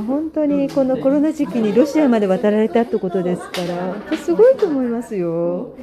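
Lady host w a high bouncy voice, rambly polite gushy chat, people talking all around. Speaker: host of an audio app show; visual artist and designer. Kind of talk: casual unscripted chat with guests and announcements. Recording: crowd chatter behind, live on the spot.

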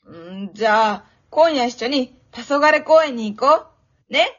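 A woman acting a bubbly, pushy, affectionate teenage girl in an amateur radio drama, with a cutesy, expressive delivery. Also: a little high, measured pace. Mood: eager, pushy. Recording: dull, lo-fi audio.

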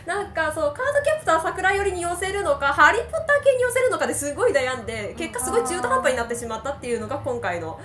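Fast, lively woman hosting in an echoey room. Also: very high voice, far from the mic, a little hiss or hum. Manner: giggly, chatty. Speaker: host and writer of an amateur radio drama, leading the after-talk. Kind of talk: casual group chat. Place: karaoke room. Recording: echoey karaoke room, neighbour singing behind.

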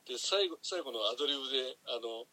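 A man's voice: medium pitch, lively intonation, fast, sharp tone, a little hiss or hum, low-quality recording. A man chatting casually as a guest, enthusiastic and generous with praise. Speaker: guest, audio drama editor. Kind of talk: casual chat.

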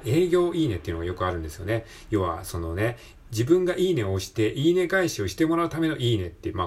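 Fast, animated man with a husky voice. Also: fairly low pitch. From Japan. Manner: encouraging, conversational, repeatedly seeking agreement. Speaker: podcast host. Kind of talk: casual solo motivational talk.

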